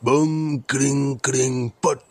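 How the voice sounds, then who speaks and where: A man's voice: mid-range voice, flat delivery, a little slow, no echo. A man voicing a pompous village holy man who chants mock mantras grandly in a comic cartoon. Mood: solemn, mystical. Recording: clearly noisy home-made cartoon audio.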